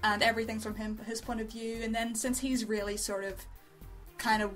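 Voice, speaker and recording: A woman's voice: on the high side, level tone, fairly quick, a bit bright, faint music behind. A woman co-hosting a casual review show, talking in an informal, rambling, giggly way. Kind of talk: casual chat, reviewing amateur voice acting.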